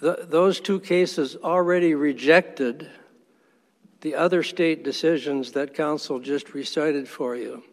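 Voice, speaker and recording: A man arguing as attorney for the respondent before an appellate court, formal and measured, respectfully firm when he disagrees. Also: a little high, steady tone. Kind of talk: appellate oral argument. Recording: long courtroom reverb.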